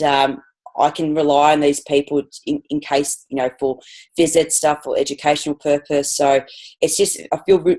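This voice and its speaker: A woman's voice: low voice, darkish tone, low-quality recording. An Australian woman, a physical education teacher, chatting as a guest on a podcast, enthusiastic and rambling with lots of restarts. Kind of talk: casual podcast interview.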